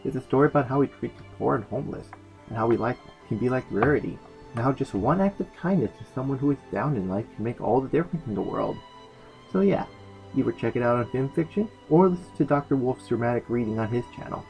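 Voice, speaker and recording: A man's voice: mid-range voice, expressive, quick pace, creaky, a little mellow, low-quality recording. A man hosting a fan fiction review, narrating in a chatty, opinionated way with enthusiastic, casual comic asides. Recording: music behind the voice. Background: background music.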